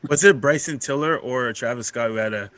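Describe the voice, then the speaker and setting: A man's voice: medium pitch, brisk, bright, forceful tone. A man chatting casually and in slang as a podcast panelist.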